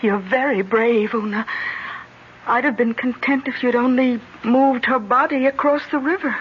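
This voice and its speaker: Actress as concerned village woman, fairly high voice, old radio drama, muffled recording. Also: normal speed, a little hiss or hum, lightly acted.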